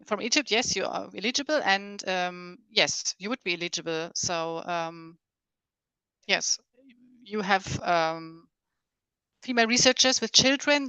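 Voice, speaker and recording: A woman's voice: fairly low pitch, pushed and bright, smooth, dry recording, rough recording. A woman answering questions as a presenter in an informal online session, hesitant and thinking aloud as she goes. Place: online video conference call.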